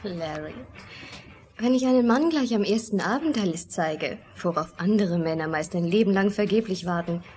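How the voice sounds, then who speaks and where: A woman's voice: medium pitch, lively intonation, moderate pace, darkish tone, a little hiss or hum, close to an everyday voice. Woman playing a coy, self-assured seductress. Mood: seductive, teasing. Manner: coy, teasing. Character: teasing, self-assured seductive lady cruise passenger. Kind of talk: German radio play acting.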